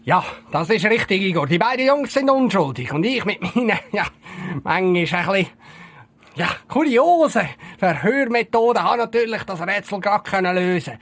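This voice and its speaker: A man playing a boastful, excitable and jumpy police detective with a raspy, creaky voice and a Swiss German accent in a comic audio play. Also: high voice, normal speed, heavily acted. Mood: smug.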